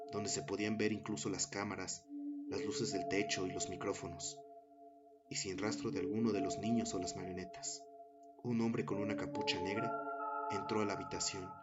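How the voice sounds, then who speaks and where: A man with a fairly low voice narrates a horror story. His telling is measured and dramatic. The sound is a little dull, with loud music running behind him. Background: loud background music.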